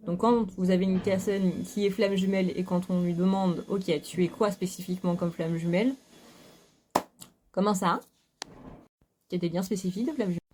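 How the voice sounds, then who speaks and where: A woman's voice: fairly low pitch, fairly even intonation, a little fast, pauses often, background noise. A woman talking frankly to her viewers in a casual home vlog, full of fillers and restarts. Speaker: spiritual YouTuber. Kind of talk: casual talking-to-camera monologue. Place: home, vacuum cleaner running nearby.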